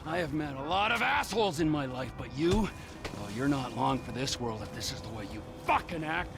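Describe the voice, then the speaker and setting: An actor playing a volatile middle-aged criminal psychopath in a video game, menacing, erratic and swearing constantly. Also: male voice, medium pitch, expressive, fairly quick, gravelly. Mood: furious threatening. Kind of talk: video game cutscene acting. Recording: game audio with music behind.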